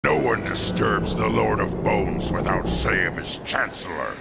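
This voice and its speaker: An actor playing a stern undead guard in a video game, with a very gravelly voice. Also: male voice, lowish voice, measured pace. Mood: forbidding. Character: stern undead male guard of the bone lord. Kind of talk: video game cutscene acting. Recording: loud music behind.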